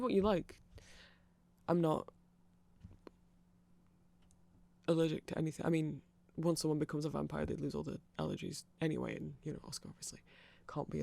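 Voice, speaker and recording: Casting: performer as shy, nerdy vampire shop clerk, creaky mid-pitched voice, flustered, halting and rambling, intimate audio roleplay. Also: fairly even intonation, darkish tone. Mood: nervously friendly. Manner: hesitant, flustered, stammering, apologetic. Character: nerdy, awkward, polite young-sounding vampire shopkeeper. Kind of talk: ASMR roleplay, rambling casual chat.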